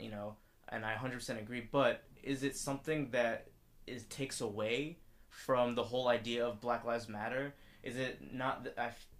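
A young man co-hosts a podcast and asks his questions with care. His voice sits at a middle pitch. He hesitates often, fills gaps with "um", and restarts his sentences. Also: fairly bright tone. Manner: hesitant, careful, many "um"s. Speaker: podcast co-host. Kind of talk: casual interview questions.

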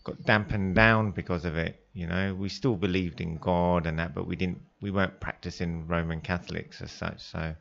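English man, radio studio guest, low gravelly voice, relaxed talk, dull lo-fi sound. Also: normal speed, few pauses. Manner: relaxed, conversational, with Arabic greetings. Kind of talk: casual radio interview.

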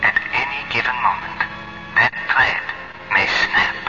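An actor playing a grave doctor who reads out his letter in a measured, clinical way in a radio drama. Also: mid-range voice, over music, lightly acted. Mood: grave, cautionary. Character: grave doctor, confiding a patient's diagnosis by letter. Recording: muffled 1970s radio broadcast.

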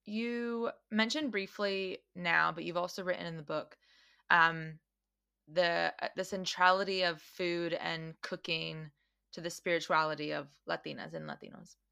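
A woman's voice: on the low side, measured pace, gravelly, sharp tone, smooth. A woman hosting a podcast, talking in a thoughtful, casual way, with frequent restarts as she works through her ideas. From Mexican American. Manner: thoughtful, many restarts and fillers. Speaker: podcast host. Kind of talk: casual conversational interview. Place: Australia.

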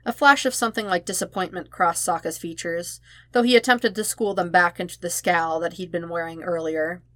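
A woman reading fan fiction aloud in an expressive audiobook style, with emphatic, dramatic phrasing. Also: fairly low pitch, a little fast, dry recording. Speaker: podfic narrator.

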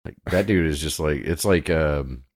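A man co-hosting a podcast in casual chat, blunt, crude and opinionated, with a deep voice. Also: moderate pace, dry recording, clean studio sound. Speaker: podcast co-host.

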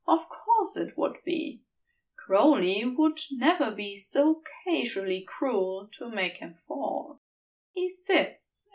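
A woman narrating a scripted story in a measured, expressive storytelling manner, recorded a little back from the mic. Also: a little high, distant mic, poor audio. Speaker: fan fiction audiobook reader.

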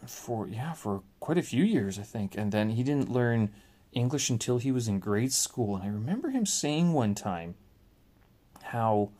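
A middle-aged man talks alone on his podcast, wandering from thought to thought. His voice sits a little low, and he fills pauses with ums and uhs and often restarts his sentences. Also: darkish tone. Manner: rambling, many fillers and restarts. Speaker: podcast host. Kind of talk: casual solo monologue.

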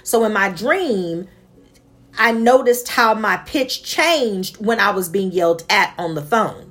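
A woman's voice: lively intonation, takes it slowly, fairly bright tone. A woman talking earnestly and passionately about a dream and her faith, laughing now and then between points. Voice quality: naturally high-pitched voice. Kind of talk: reflective personal monologue.

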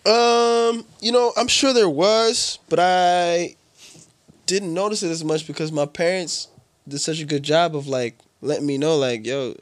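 Young man in relaxed slangy chat. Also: on the high side, dry recording. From Switzerland. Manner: relaxed slangy talk, drawn-out emphatic phrases. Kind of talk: casual conversation.

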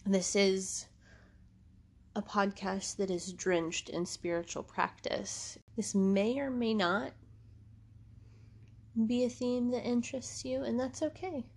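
A woman's voice: mid-range voice, steady tone, takes it slowly, pauses often, darkish tone. A woman, hosting a podcast in a casual, rambling monologue, congested from allergies and laughing often. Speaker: podcast host.

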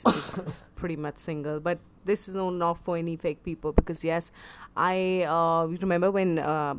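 A woman with an Indian English accent hosting a casual chat, animated and exclamatory, slipping into Hindi. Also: sits low. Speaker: interview host.

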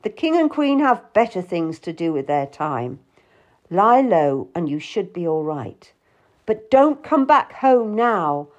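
A woman's voice: lowish voice, sing-song, slow, dull audio. A woman voicing an angry, cold man berating someone in an audiobook drama, stern and accusing. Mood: cold, urgent.

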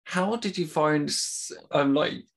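A man's voice: on the high side, takes it slowly, gravelly. A man who stammers, talking casually and openly with many fillers. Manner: stammers, talks openly about it. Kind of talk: casual conversation.

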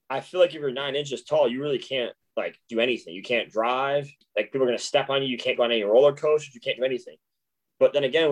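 A man co-hosting a podcast in casual chat, rambling eagerly and full of asides. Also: medium pitch. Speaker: podcast co-host.

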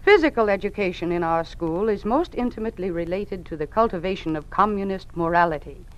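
An actress playing an earnest, troubled young schoolteacher in an old-time radio drama, with careful, precise diction. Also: female voice, mid-range voice, animated, fairly quick, close to an everyday voice. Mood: troubled, indignant. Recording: muffled old radio recording.

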